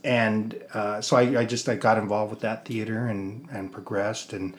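A man's voice: on the low side, level tone, normal speed, slight background noise. Middle-aged American man telling stories casually. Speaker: interview guest, theater producer and actor. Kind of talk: casual personal storytelling.

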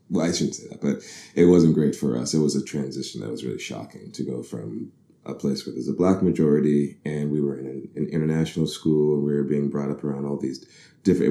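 A man's voice: extremely low pitch, fairly even intonation, fast talker, mellow. A man in his thirties from the Bahamas, an actor being interviewed, telling his story thoughtfully, correcting himself often and laughing easily. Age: 30s. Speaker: actor guest. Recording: roomy echo.

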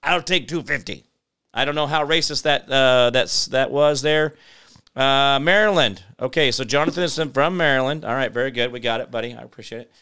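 Clear-voiced American man, rambling talk show host. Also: mid-range voice, bright, forceful tone. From United States. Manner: halting, sardonic, digressive. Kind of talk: rambling off-the-cuff political commentary.

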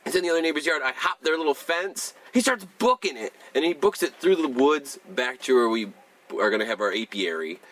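A man's voice: fairly high pitch, lively intonation, brisk, a bit bright. A young man co-hosting a podcast, telling rambling stories in casual chat, swearing and filling his talk with "like" and "dude". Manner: profane, rambling storyteller, lots of "like" and "dude".

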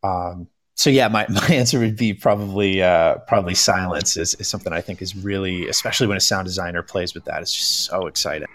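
A man's voice: deep voice, brisk, hardly any pauses, a bit bright, no echo. A man talking casually on a panel, hesitantly repeating words as he thinks. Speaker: panellist, voice actor.

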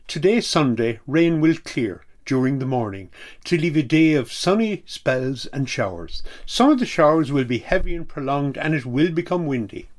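A man's voice: mid-range voice, a little mellow. A man reading a scripted weather forecast on community radio.

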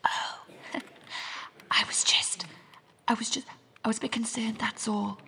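An actress playing a restless, curious young woman in an audio drama monologue, confiding and wry, with a hushed, husky voice. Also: a little high, fairly even intonation, measured pace, in a whisper, exaggerated voice. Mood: concerned, flustered.

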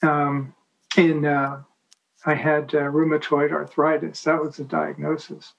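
Halting man telling a rambling story. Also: fairly high pitch, monotone, vocal fry, lo-fi sound. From United States. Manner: halting, many restarts and fillers. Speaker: guest. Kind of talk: casual storytelling.

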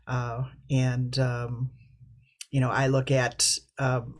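A man facilitating a group discussion, thanking speakers and explaining calmly. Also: medium pitch, takes it slowly. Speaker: meeting facilitator.

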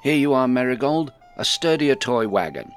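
A man's voice: medium pitch, lively intonation, moderate pace, faint music behind, voice pushed up, natural voice. A man voicing a jovial old hobbit storyteller. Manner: jovial, hearty, warmly teasing. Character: cheerful older male hobbit storyteller.

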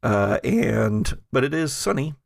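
A man's voice: medium pitch, sing-song, slow pace, vocal fry, no room sound, studio quality. A man running a tabletop roleplaying game, narrating scenes casually in a conversational, chuckling way. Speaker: game master.

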